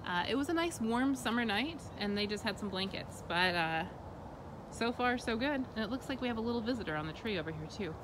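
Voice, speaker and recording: A woman's voice: fairly high pitch, animated, brisk. A woman in her thirties giving a cheerful, easygoing morning update outdoors in the woods. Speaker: co-host. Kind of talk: casual vlog chat. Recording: outdoor camera mic with clear background noise.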